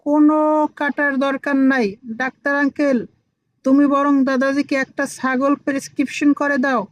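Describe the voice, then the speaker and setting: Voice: high voice, little pitch movement, moderate pace, dull audio, close to an everyday voice. An actor voicing a cheeky, confident five-year-old boy who plays doctor in a comic village cartoon. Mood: cocky. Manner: confident, know-it-all.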